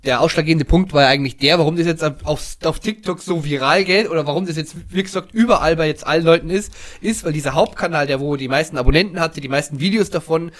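Bavarian male co-host, slightly high voice, halting delivery full of ums and ers. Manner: hesitant, many fillers, laughs along. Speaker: podcast co-host. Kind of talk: casual chat.

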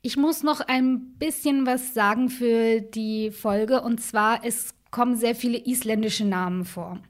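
A woman co-hosting a true crime podcast, telling the case in a relaxed, conversational way with fillers and asides. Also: on the high side, unhurried. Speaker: podcast co-host.

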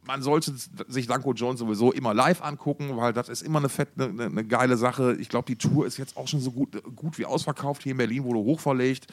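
A man's voice: medium pitch, fairly quick, few pauses. A man co-hosting a podcast in casual, slangy, enthusiastic music talk, often repeating words as he searches for phrasing. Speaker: podcast co-host.

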